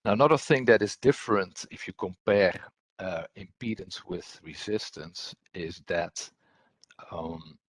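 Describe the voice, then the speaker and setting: A man presenting a technical webinar as an engineer, with a husky voice and hesitant, repetitive phrasing. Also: low voice, unhurried, no echo.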